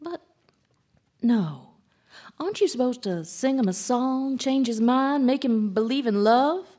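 Female radio drama listener played by an actress: highish pitch, drawn-out pacing, dramatic, questioning, urgent. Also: lively intonation, mellow. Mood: shocked, urgent.

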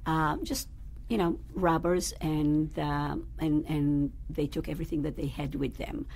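A woman rabbi recounting her family's history in an interview, pausing often to search for words. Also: deep voice, steady tone, creaky, darkish tone. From Israel. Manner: thoughtful, searching for words with fillers. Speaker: rabbi, guest. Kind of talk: interview, personal storytelling. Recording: faint background noise.

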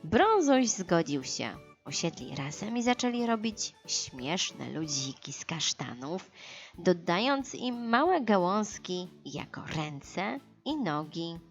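Unhurried, very expressive woman storyteller. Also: lowish voice, highs cut off, soft background music. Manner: expressive, unhurried, dramatized for children. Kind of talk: scripted children's audiobook narration.

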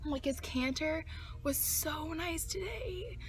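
A woman's voice: very high-pitched, expressive, slow pace. A young woman vlogging to her phone camera at a horse barn and in her car, chatty and gushing, with plenty of "like". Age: young adult. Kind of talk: casual vlog talk to camera. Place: barn, a parked car and outdoors at a farm. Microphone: phone held at arm's length.

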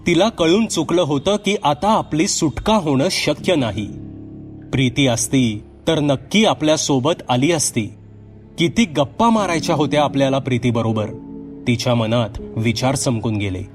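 A man narrating a scripted horror story as a storyteller, in a dramatic, suspenseful manner. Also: mid-range voice.

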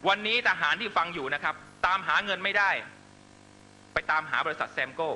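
A man's voice: sits high, fairly quick, bright, forceful tone, a little hiss or hum, rough recording. A man delivering a fiery political speech to supporters, accusatory and emphatic, constantly pressing listeners with rhetorical questions. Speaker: political rally speaker.

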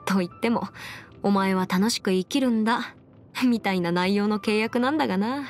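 A woman's voice: mid-range voice, moderate pace, close to an everyday voice. Woman voicing a self-assured young anime lawyer. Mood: fond. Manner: crisp, self-assured, formal mannish phrasing. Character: confident, brisk young female half-immortal lawyer. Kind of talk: scripted anime game character voice lines. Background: background music.

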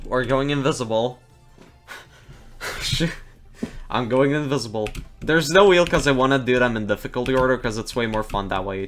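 A young man casually commentating his own game stream, excitable, laughing often and groaning at his fails. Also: mid-range voice. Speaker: gaming streamer.

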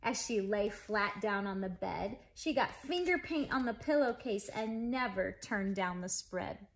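A woman reads a picture book aloud to children in an animated, emphatic way. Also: mid-range voice, a little slow. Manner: animated, emphatic phrasing for young listeners. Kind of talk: expressive children's story read-aloud. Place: living room at home.

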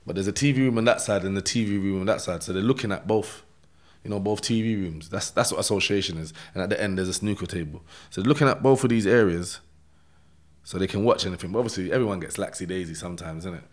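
Male podcast guest, London accent, low voice, street slang, casual first-person storyteller who keeps checking the listener follows. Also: fast talker. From London, England. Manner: streetwise slang, repeated check-in tags like "yeah?" and "innit". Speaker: podcast guest telling his life story.

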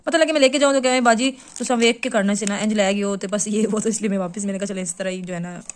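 A woman's voice: mid-range voice, fast. A young mother from Pakistan, showing her purchases on camera at home and coaxing her children as she goes. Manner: lively, coaxing, talks to her children while filming. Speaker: vlogger and mother. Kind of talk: casual vlog show-and-tell. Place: home room indoors. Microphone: handheld phone camera.

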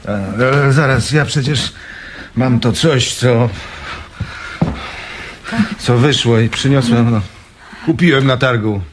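An actor in a radio comedy playing a modest, broke poet with a hesitant, deadpan, self-deprecating manner. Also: male voice, medium pitch, takes it slowly, pauses often, background noise, close to an everyday voice. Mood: wry, hesitant. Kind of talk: radio comedy drama acting.

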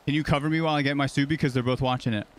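A male game streamer fires off urgent callouts very quickly in a mid-range voice. Gunfire and game noise are clearly heard behind him. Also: mid-range voice, fairly even intonation. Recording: noisy game audio with gunfire. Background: gunshots, vehicles.

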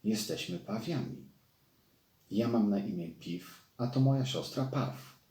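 A man's voice: fairly low pitch, animated, unhurried, dark tone. A man voicing a proud, haughty peacock in a children's storybook, speaking emphatically. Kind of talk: storybook character voice. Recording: roomy echo.

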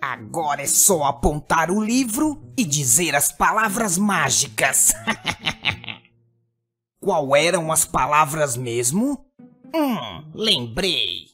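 A woman's voice: low voice, very animated, slow, a bit bright, breathy edge, soft background music, heavily acted. Woman as a boastful little killer doll, theatrical. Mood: scheming. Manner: menacing, taunting, boastful. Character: small evil killer doll, boastful, scheming boy villain. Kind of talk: children's cartoon voice acting in Portuguese.

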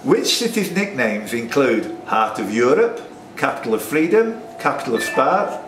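A man's voice: medium pitch, big pitch swings, moderate pace, a bit bright, far from the mic. A man, acting as quizmaster, reading questions and bantering with the players. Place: pub, indoors among a group of players. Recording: roomy pub recording, off-mic, faint noise.

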